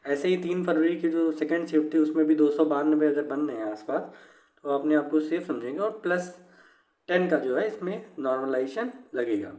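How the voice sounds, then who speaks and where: A man giving an informal, explanatory exam briefing in a repetitive, halting way. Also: on the high side, mellow. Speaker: exam news presenter. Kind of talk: informal explanatory commentary on exam cut-off scores. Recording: echoey room.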